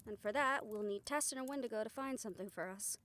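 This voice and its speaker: A woman's voice: on the high side, fast talker, fairly bright tone, lightly acted. A woman acting a good-natured young spellcaster in an audio roleplay, talking in a casual, folksy, wry way. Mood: thoughtful.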